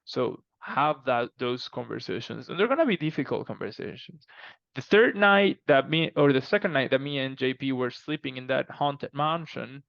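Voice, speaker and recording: A man chatting casually as a podcast guest, explaining things in an animated, emphatic way and often repeating himself. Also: mid-range voice, normal speed, vocal fry, no echo, highs cut off. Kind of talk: casual conversational interview answers.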